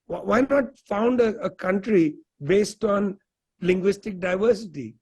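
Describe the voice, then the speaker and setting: A man's voice: high-pitched, unhurried, darkish tone, slightly rough. A man from India, speaking as an interview guest in earnest, conversational commentary full of rhetorical questions and "you know" fillers.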